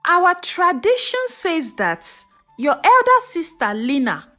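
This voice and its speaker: A woman's voice: very high voice, sing-song, slow pace, sharp tone, faint music behind, voice pushed up, full character voice. A woman voicing an earnest village mother who instructs on tradition in a folktale. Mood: solemn.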